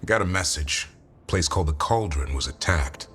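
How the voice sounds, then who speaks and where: A blunt male warrior and bodyguard from a fantasy game. His voice is deep and swings freely in pitch, wry and protective in tone. Faint music plays underneath. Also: lively intonation, moderate pace, a bit bright. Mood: grim, businesslike. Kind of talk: dramatic video game dialogue.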